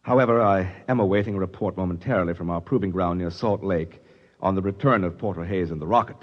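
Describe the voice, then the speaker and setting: An actor playing a flustered, stuffy company official in a 1950s radio drama, formal and officious, with a stammering manner. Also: male voice, low voice, fast. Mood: formal, uneasy. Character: flustered, stuffy male corporate official defending himself. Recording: dull, reverberant 1950s radio recording.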